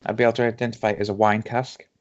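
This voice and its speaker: A man with a Yorkshire accent co-hosting a casual whisky tasting podcast. He reads tasting notes slowly and teases his friend. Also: lowish voice, little pitch movement, slight background noise. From Yorkshire, England. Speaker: podcast co-host. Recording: dull lo-fi home podcast audio.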